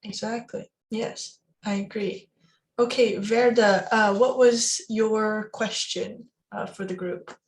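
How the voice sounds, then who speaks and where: A woman's voice: mid-range voice, fairly even intonation, slow, poor audio. A woman hosting a roundtable, informally handing over to speakers and inviting questions from the group. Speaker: roundtable moderator. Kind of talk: informal event moderation.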